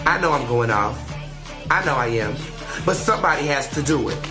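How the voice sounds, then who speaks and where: A man hosting a flamboyant talk radio show, sassy and theatrical, sometimes sing-song, his voice slightly raspy from illness. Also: mid-range voice, lively intonation, a little fast. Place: radio studio. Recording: echoey room with music behind.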